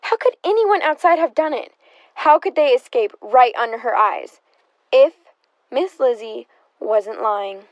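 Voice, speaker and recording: Woman doing a nosy sardonic questioner in a radio play, high voice, kinda muffled dry sound, wry and needling. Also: expressive, unhurried, no room sound. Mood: probing, skeptical. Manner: insinuating, wry, pointed questioning. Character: nosy, sardonic, probing interrogator of a murder suspect's sister. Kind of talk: theatrical radio drama acting.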